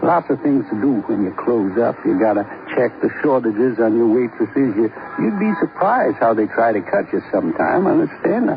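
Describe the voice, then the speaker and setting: An actor playing a gruff, talkative grill owner with folksy, rambling talk in a 1950s radio drama. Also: male voice, medium pitch, very animated, moderate pace, few pauses. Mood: sardonic, irritable. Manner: rambling, folksy, colloquial drawling phrasing. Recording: muffled, noisy 1950s radio recording.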